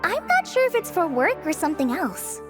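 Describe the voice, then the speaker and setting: Shy polite teen ranger girl, really high light voice, bouncy pitch, anime game dub. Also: wildly swooping pitch, moderate pace, full character voice. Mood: earnest. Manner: hesitant, self-effacing, polite. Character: modest, earnest teenage girl forest ranger. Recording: music playing behind the voice.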